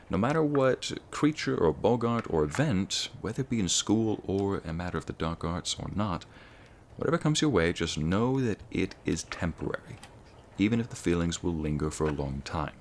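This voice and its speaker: Very deep-voiced American wizard professor, played by a man. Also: animated, a little hiss or hum. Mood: reflective, reassuring. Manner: measured, formal, teacherly, addressing students directly. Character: encouraging, scholarly male wizard school professor lecturing his class. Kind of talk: ASMR roleplay as a classroom lecture.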